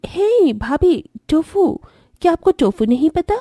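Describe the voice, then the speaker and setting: A woman's voice: very high-pitched, big pitch swings, takes it slowly, mellow, dry recording, heavily acted. A woman voicing a fussy, condescending, modern young sister-in-law in a cartoon story. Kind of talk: Hindi cartoon story voice acting.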